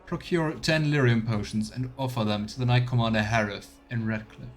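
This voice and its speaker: A man's voice: mid-range voice, steady tone, brisk. A man streaming a fantasy role-playing game, reading text aloud and chatting in a playful, self-questioning way.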